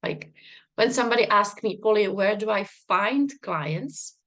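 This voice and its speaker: A woman's voice: medium pitch, measured pace, fairly bright tone. A woman, a non-native English speaker, talking to a live stream audience as a business coach in a bubbly, emphatic way with frequent laughter. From not Czech, worked in Czech Republic. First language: not English. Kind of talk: live stream talk to viewers.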